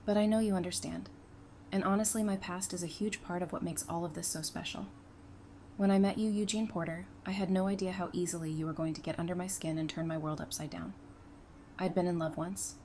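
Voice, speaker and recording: A woman's voice: on the low side, warm tone, close to an everyday voice. A woman playing a tender, devoted bride in an audio drama, speaking earnestly and emotionally. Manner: earnest, emphatic, emotional delivery. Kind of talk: fan audio drama acting. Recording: faint background noise and ambience.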